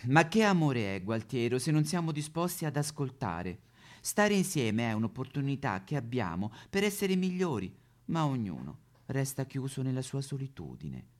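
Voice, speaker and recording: A man's voice: mid-range voice, very animated, normal speed, natural voice. A man playing a needy, sentimental, exasperated wife and mother in a satirical stage sketch. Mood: earnest, reproachful.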